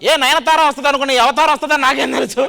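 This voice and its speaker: A man's voice: very high-pitched, steady tone, racing, creaky. A man doing comic stage banter as a team leader, heckling with mock indignation. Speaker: comedian and dance show team leader. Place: TV studio stage. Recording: very loud music over voice.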